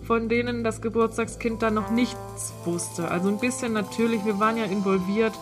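Woman, twenties, high-pitched, excitable podcast co-host, casual chatting, prominent music bed. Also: moderate pace. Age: 20s. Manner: giggly, excitable. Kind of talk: casual chat.

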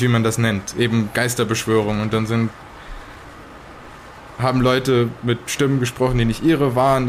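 Male survivor in an improvised horror audio drama, acted by a man: average pitch, sardonic and rattled, fragmented delivery, slightly noisy recording. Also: lightly acted.

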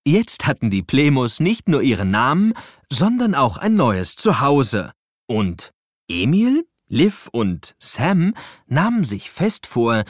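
A man's voice: medium pitch, no room sound, studio quality. A man narrating a scripted children's audio story as a storyteller.

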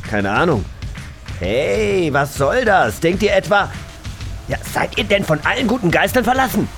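A man's voice: high-pitched, very animated, moderate pace, fairly bright tone, soft background music, voice pushed up, heavily acted. A man playing a shifty junk dealer who blusters, theatrically affronted, in a children's audio play read as a game.

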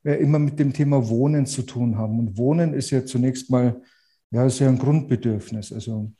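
A man's voice: medium pitch, a little fast, mellow. A man with a Bavarian accent, a real estate agent guest on a podcast who speaks outspokenly but with many hesitant restarts. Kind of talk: podcast interview.

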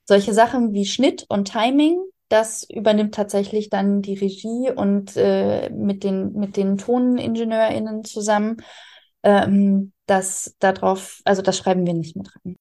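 A woman's voice: medium pitch, fairly even intonation, a little slow, dark tone. A woman, speaking in an interview as an author explaining her writing craft. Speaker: interviewed radio drama author.

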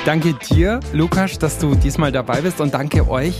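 A man hosting an interview podcast in German, asking questions in a friendly, brisk way. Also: on the high side, over loud music. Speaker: podcast host.

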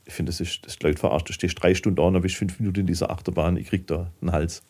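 A man's voice: deep voice, fairly even intonation, very fast, warm tone, slightly rough. A middle-aged man from southwestern Germany talking candidly and colloquially as a guest in a casual podcast interview. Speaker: guest, filmmaker and managing director.

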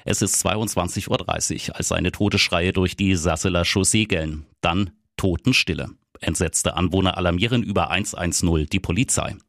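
A man with a very low, deep voice reads news bulletins. His delivery is firm and dramatic. The studio sound is dry and close, with no room echo. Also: moderate pace, creaky, few pauses, a bit bright. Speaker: news presenter. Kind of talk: scripted news reading.

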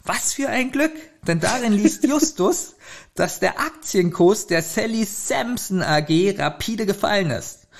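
A man co-hosting a podcast, retelling and critiquing a story in an informal conversational way. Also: high-pitched. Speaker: podcast co-host. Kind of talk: casual review chat, retelling a plot.

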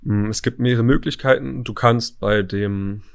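A man's voice: medium pitch, fairly even intonation, moderate pace, vocal fry, clean tone. A man from Berlin, guest on a casual podcast interview, answering in short, hesitant bits that trail off. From Berlin, Germany.

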